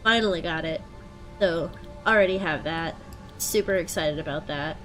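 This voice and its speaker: A young woman with a head cold and a stuffed-up nose, chatting casually through gameplay and thinking out loud with plenty of ums. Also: sits low, normal speed, faint music behind. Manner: relaxed, thinking aloud with frequent ums. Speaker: let's play host.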